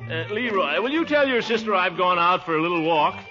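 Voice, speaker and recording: An American actor playing a pompous, chuckling, good-natured middle-aged uncle in a 1940s style radio comedy. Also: male voice, sits high, expressive, brisk, music in the background. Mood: genial showing off. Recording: dull old radio recording.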